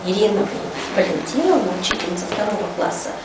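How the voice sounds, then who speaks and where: A woman's voice: medium pitch, animated, quick pace, voice pushed down, natural voice. Woman playing a folksy cleaning lady, very echoey room. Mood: gossipy, folksy. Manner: colloquial, folksy storytelling. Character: chatty, folksy school cleaning lady telling gossipy tales. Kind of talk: storytelling to children. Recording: echoey room, distant mic, noisy.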